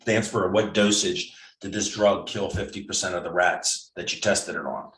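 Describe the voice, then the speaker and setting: A man's voice: sits low, fairly even intonation, normal speed, a bit bright. A man in his sixties, a physician guest, explaining things step by step in a casual, conversational way with frequent restarts. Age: 60s. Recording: roomy and distant from mic.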